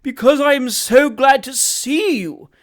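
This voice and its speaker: A reader doing a whining, simpering young manservant. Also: male voice, very high voice, a little slow, pitched above the performer's own voice. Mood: gushing. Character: hypocritical sly young manservant, tearful and fawning.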